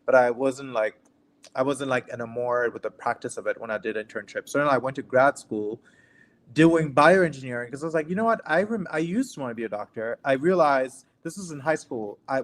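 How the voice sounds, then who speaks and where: A young man from Cameroon with a mid-range voice speaks as a guest physician. He tells his own story casually, in loose conversational phrasing, often checking in with the listener. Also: mid-range voice. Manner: informal, conversational, often says "like" and "right?". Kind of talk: casual personal storytelling in an interview.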